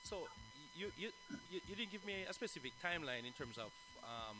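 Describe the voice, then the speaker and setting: A man's voice: high-pitched, huge pitch swings, a little fast, background noise. A man interviewing a singer in a casual, agreeable way, often adding affirmations.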